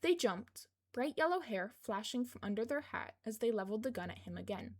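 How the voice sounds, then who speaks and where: A woman narrates a story as an amateur audiobook reader. Her voice sits a little high as she reads the scripted prose. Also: expressive, fairly quick.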